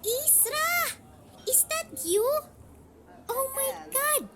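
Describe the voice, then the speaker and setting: A woman voicing a bubbly, gushing young cafe worker in a roleplay audio drama, excitable and full of exclamations. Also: very high voice, very slow, heavy background noise, pitched above the performer's own voice, exaggerated voice. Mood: excited, gushing.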